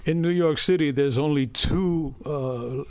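Older male guest, hoarse, rambling conversational delivery, dry recording. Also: fairly high pitch, unhurried, darkish tone, dry recording. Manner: rambling, repeats words, restarts. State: hoarse from a cold. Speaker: musician and art director guest. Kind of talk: conversational interview. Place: in person in New York.

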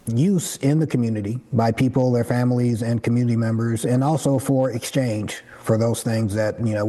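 Brief: man from Detroit, interview guest, mellow warm tone, earnest and explanatory. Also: mid-range voice. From Detroit, USA. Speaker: community organizer guest. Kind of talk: interview answers.

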